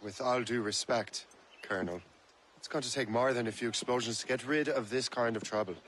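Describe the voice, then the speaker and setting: An actor playing an earnest, guarded young soldier of fortune, understated and a little hesitant, in a game cutscene. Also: male voice, medium pitch, fairly quick, a bit bright, a little hiss or hum, natural voice. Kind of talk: video game cutscene acting.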